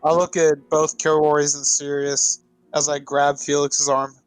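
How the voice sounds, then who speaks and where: A man's voice: a little high, completely flat delivery, unhurried, smooth, no room sound, low-quality recording. A man playing a tabletop roleplay game, talking casually and speaking for his character.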